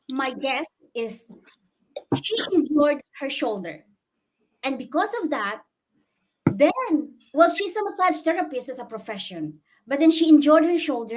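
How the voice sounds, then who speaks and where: A woman's voice: sits high, darkish tone, low-quality recording. A woman hosting a livestream interview, addressing viewers in an effusive, emphatic way and often repeating her phrases. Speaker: host interviewing a guest.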